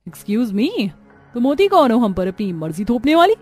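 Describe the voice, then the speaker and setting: A woman acts out a defiant young daughter-in-law from the city. Her voice is high and disdainful, in a cartoon family drama with faint music behind it. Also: big pitch swings, moderate pace, a little mellow, breathy edge.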